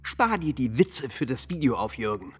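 A woman in a radio drama playing a dry, cynical covert operative with a husky voice. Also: a bass voice, sing-song, normal speed. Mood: sardonic.

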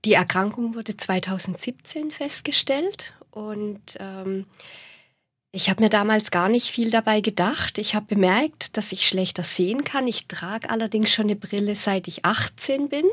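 Calm Swabian woman in her forties. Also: mid-range voice, measured pace, husky, no echo. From southwest Germany. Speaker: guest. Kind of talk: radio interview. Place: radio studio.

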